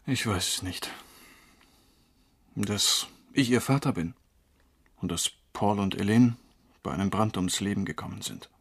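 A knowing, quietly flirtatious man in a radio crime drama. His voice is husky and fairly low, and he talks intently, as if confiding what he has worked out. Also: measured pace, pauses often, heavily acted. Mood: troubled, defensive. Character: tall dark-haired male lover, earnest, knowing, quietly flirtatious.

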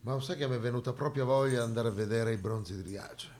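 A man's voice: medium pitch, fast, darkish tone, soft background music. A man delivering a theatrical monologue as a stage actor, with dramatic, emphatic storytelling.

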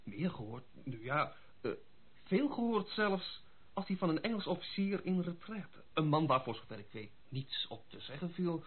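A tinny, band-limited old radio recording carries a worldly gentleman confidant. His voice is fairly high and husky. He speaks with wry, gossipy caution in formal, old-fashioned phrasing. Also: sing-song, normal speed. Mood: inquiring, hesitant. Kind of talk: Dutch radio play acting.

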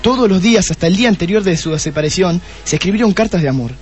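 A man's voice: high-pitched, lively intonation, rapid-fire, husky, faint music behind. A man playing an impatient, brooding police detective in a comic radio play, exasperated and bossy, with an Argentine accent.

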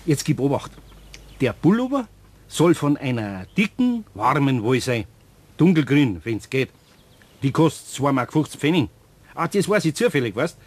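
A gruff, kindly old Bavarian carpenter. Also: male voice, mid-range voice, big pitch swings, moderate pace. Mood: kindly, bargaining. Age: older. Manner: gruff but kindly, coaxing. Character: good-natured older Bavarian master carpenter. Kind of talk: radio play acting.

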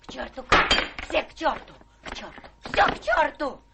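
A woman's voice: high voice, expressive, normal speed, creaky, heavy background noise. An actress playing a capricious, domineering rich old lady prone to hysterics, in a theatrical radio-drama style. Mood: outraged, frantic. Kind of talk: stage-trained radio drama acting.

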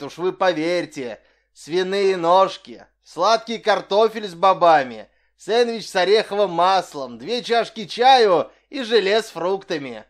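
A man voicing a small, boastful old tramp who shouts and hurries everyone along. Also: sits high. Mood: urging. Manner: shouting and bragging, hurrying others on.

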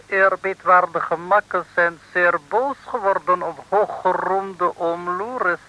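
Piercing, slow, sly courtier. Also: male voice, high-pitched, fairly even intonation, sharp tone, dull audio, full character voice. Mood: gloating. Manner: singsong broken speech, sly formal politeness. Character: sly, gloating male Eastern courtier, caricatured, with pidgin speech. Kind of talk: 1960s radio comedy serial acting.

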